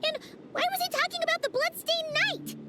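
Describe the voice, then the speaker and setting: A woman voicing a tiny, eager female companion creature who talks in a quick, excitable way. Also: very high-pitched, over music.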